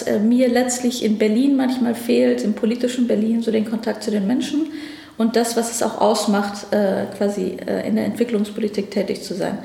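A woman's voice: a little high, creaky, dark tone, roomy sound. A woman from Afghanistan, a ministry division head, answering interview questions thoughtfully with frequent hesitations. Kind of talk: conversational interview. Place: office at a federal ministry.

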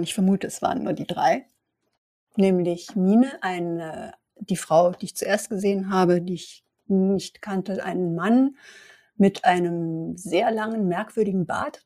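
An observant female vampire scout, played by a woman in a role-play audio drama. Her medium-pitched voice is recorded dry. She is wary and deliberate, drawing out words for emphasis. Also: warm tone, no echo, close to an everyday voice.

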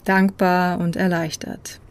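A narrator reading a scripted personal travel story in a reflective, measured way, recorded close on a microphone. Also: medium pitch, level tone, clear, resonant tone. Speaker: podcast host and narrator.

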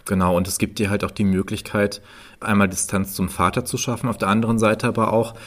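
A man's voice: fairly low pitch, little pitch movement, fast. A man co-hosting a podcast in casual discussion, often chiming in to agree. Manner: often affirms the others with short agreeing interjections. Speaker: podcast co-host.

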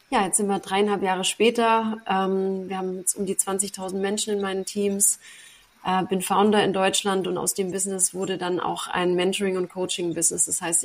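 A woman telling her story as a podcast guest over a video call from her apartment, talking freely with many fillers and English loanwords. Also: mid-range voice. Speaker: podcast guest, sales mentor. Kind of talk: casual conversational interview.

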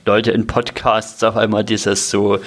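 A man's voice: fairly low pitch, monotone, unhurried. A man chatting casually as a podcast co-host among friends. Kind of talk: casual chat.

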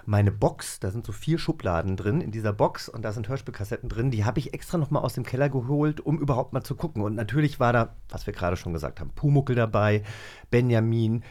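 Chatty man in his forties, mid-pitched. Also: animated, quick pace, a little mellow. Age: 40s. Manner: enthusiastic, chatty. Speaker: podcast co-host. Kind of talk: casual podcast chat.

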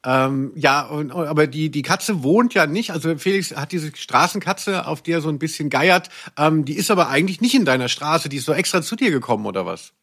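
A man with a somewhat high-pitched voice co-hosts a podcast. He chats casually, with a teasing, mock-serious way of putting things. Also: expressive, a little fast, hardly any pauses, a bit bright. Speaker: podcast co-host.

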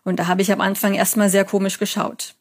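A woman's voice: medium pitch, level tone, quick pace. German woman, thirties, psychology lecturer, chatty interview guest, telling anecdotes. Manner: chatty, storytelling with quoted examples. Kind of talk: interview answers.

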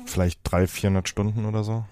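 A man with a fairly low voice in a dry, close recording. He explains technical matters casually, as a guest in conversation. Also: flat delivery, fast, dark tone, no room sound.

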